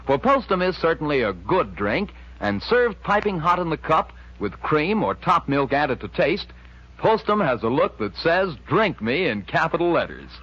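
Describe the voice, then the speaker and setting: Animated American 1940s radio announcer, male. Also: a little high, normal speed, breathy edge. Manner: polished, emphatic, folksy salesmanship. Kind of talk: 1940s radio advertising and scripted narration. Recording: muffled, scratchy 1940s radio recording.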